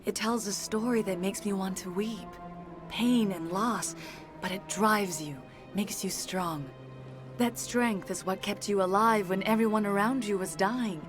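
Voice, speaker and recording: An actress plays a serene alien woman counselor from a video game. She speaks in a mid-pitched voice with solemn, poetic, measured phrasing, offering wise comfort over clear background music. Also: close to an everyday voice. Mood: solemn, reassuring.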